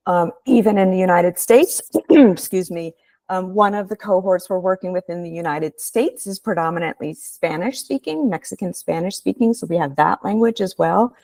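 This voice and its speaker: A woman researcher giving a measured, explanatory webinar presentation over a video call. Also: medium pitch, a little mellow, dry recording.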